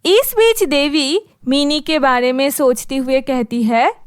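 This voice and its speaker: A woman's voice: high-pitched, slow, dry recording, clean studio sound. A woman narrating a scripted children's story as its storyteller.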